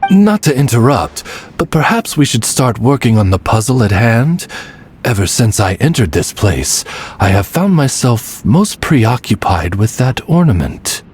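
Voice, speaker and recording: An actor playing a courteous, devoted gentleman retainer in an anime game, speaking formally with flowery politeness. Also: medium pitch, big pitch swings, a little slow, a little hiss or hum. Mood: polite, preoccupied. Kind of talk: theatrical anime game voice acting.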